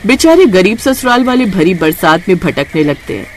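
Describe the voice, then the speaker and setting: A woman's voice: medium pitch, big pitch swings, moderate pace, slight background noise. A woman reading scripted narration for a moral family story as the storyteller. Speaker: storyteller narrating and voicing characters.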